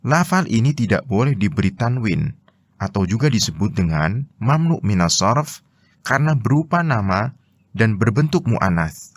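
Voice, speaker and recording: A man with an Indonesian accent narrates scriptural commentary in a formal, read-out style. His voice sits fairly low, and the recording is dry and close, free of room echo. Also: sing-song, normal speed.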